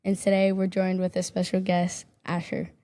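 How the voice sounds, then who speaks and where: A woman's voice: on the low side, monotone, moderate pace, dark tone. A woman co-hosting a podcast, introducing a guest casually with a few hesitant ums. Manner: friendly, slightly hesitant with ums. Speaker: podcast co-host.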